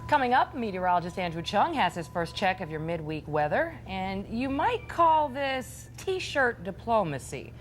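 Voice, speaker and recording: A woman's voice: medium pitch, big pitch swings, moderate pace, hardly any pauses, a little hiss or hum. A woman with an American accent, reading scripted news as a television anchor.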